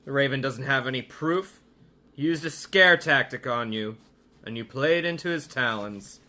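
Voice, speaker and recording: A man's voice: mid-range voice, normal speed, sharp tone. A man playing a domineering criminal doctor, theatrical and menacing, in a radio mystery. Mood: scornful, commanding.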